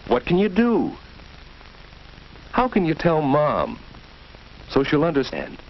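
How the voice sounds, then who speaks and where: An actor narrating an old educational film in a musing, scripted way. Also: male voice, on the high side, huge pitch swings, takes it slowly, dull audio, full character voice. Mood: brooding.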